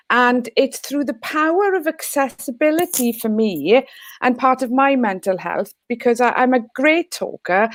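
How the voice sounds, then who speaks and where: A woman with a Welsh accent, Welsh her first language, speaking in a heartfelt, earnest way as a teacher and guest on an online panel. Her voice sits a little high, and the sound is dry and close over a video call. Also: no echo. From Wales.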